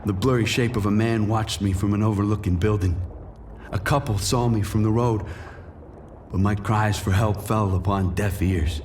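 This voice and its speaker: An actor playing a wounded, proud and desperate drug dealer in a dramatic first-person noir crime audio drama. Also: male voice, on the low side, fairly even intonation, moderate pace, darkish tone, far from the mic, a little hiss or hum, natural voice. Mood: grim, despairing. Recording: studio voice under prominent music.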